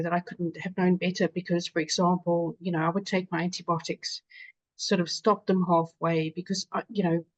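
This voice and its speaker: Woman, South African accent, patient advocate, earnest, low-pitched, muffled call audio. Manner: earnest, many fillers and restarts. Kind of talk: webinar panel answer.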